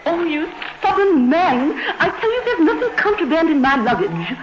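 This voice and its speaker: A woman's voice: very high voice, very animated, moderate pace. A woman playing a haughty, wealthy society matron in an old-time radio drama, imperious and theatrical. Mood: indignant, exasperated. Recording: muffled vintage radio recording.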